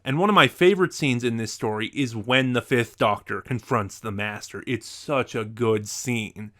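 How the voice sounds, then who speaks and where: A man's voice: medium pitch, normal speed, pushed and bright. A man presenting a semi-scripted review to viewers as a video host.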